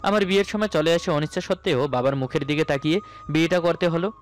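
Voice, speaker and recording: A man's voice: on the high side, fast talker, slight background noise. A man narrating a romantic story in the first person, in a dramatic storytelling style. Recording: music bed under the voice. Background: background music.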